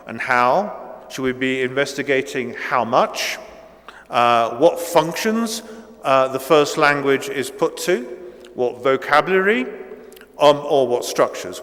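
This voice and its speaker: Sixties male lecturer, native Italian speaker, slow and halting with many fillers, slightly high voice, reverberant hall. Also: a bit bright. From Italy. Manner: hesitant, many ums and restarts. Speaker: guest lecturer. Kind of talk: academic keynote lecture.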